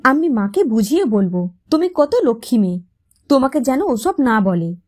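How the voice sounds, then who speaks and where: Audio storybook role: devoted young husband played by a female reader, soothing and tender, soft-edged mellow tone. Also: on the high side, sing-song, moderate pace. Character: loving, reassuring young husband.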